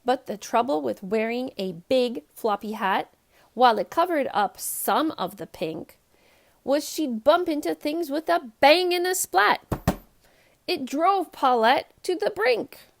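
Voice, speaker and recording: A woman who hosts a children's read-aloud show, narrating a storybook in an expressive, emphatic way for young listeners. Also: high-pitched, measured pace, creaky.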